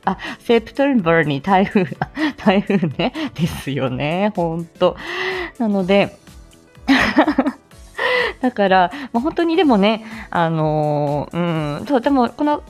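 A woman's voice: mid-range voice, sing-song, takes it slowly, faint music behind. A woman hosting a casual live audio stream, chatting to listeners in a giggly, playful way. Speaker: speech-language therapist.

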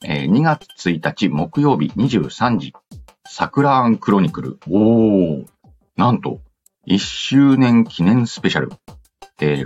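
A man's voice: sits low, muffled recording. A man hosting a casual radio-style show, reading out letters and announcements with playful asides. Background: background music.

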